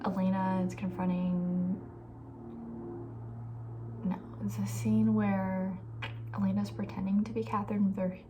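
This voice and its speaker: A young woman with a soft, breathy voice, often near a whisper, chatting casually and with playful opinions in an ASMR style. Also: medium pitch, level tone, a little slow, long pauses, lo-fi sound, noisy background. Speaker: ASMR video creator.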